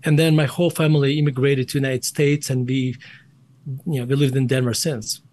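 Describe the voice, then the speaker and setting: A man's voice: medium pitch, flat delivery, brisk, a little mellow. A man in his forties with a Russian accent, a guest in an interview, often restarting and repeating words as he explains. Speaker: guest, investment firm CEO. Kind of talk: conversational interview answers.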